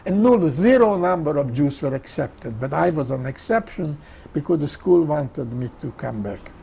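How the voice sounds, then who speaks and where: An elderly man, a native Hungarian speaker with a Hungarian accent, telling his life story in an emphatic, halting way, often repeating phrases. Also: on the high side, lively intonation, gravelly, dark tone, slight background noise. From Czechoslovakia, Hungarian-speaking. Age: 80s or older. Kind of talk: oral history interview, personal storytelling.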